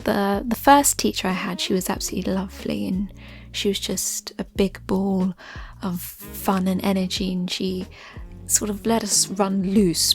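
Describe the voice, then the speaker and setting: A woman speaking in a soft, breathy, often near-whispered voice, chatting gently and ramblingly in an ASMR style. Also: mid-range voice. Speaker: ASMR creator answering listener questions. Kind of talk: soft-spoken ASMR chat. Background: music.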